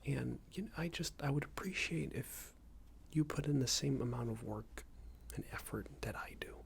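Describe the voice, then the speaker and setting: A man playing a smug, unreasonable boss in an ASMR roleplay, scolding an employee in a soft breathy whisper with a hesitant, condescending manner. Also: moderate pace, a little hiss or hum, close to an everyday voice. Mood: smug, self-pitying. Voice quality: breathy soft whisper with low creaky murmur. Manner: hesitant, condescending, meandering reprimand.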